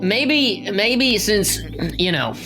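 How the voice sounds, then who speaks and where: A man's voice: high-pitched, very animated, very slow, gravelly, music in the background, pitched above the performer's own voice, full character voice. A man playing a hapless small-time gang crook who stammers and rambles as he defends himself, in a tabletop game. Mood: flustered, apologetic. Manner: stammering, self-justifying, rambling.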